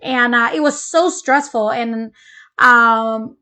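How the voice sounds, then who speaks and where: A woman's voice: fairly high pitch, slow pace, bright, forceful tone. A woman, co-hosting a casual parenting chat and telling personal stories earnestly. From Malaysia. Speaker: co-host, parent.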